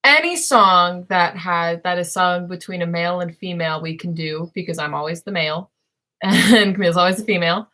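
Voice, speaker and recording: A woman's voice: fairly low pitch, fairly quick, sharp tone. A woman chatting playfully with her sister on a livestream, laughing often. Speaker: livestream co-host. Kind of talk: casual livestream chat with a sibling.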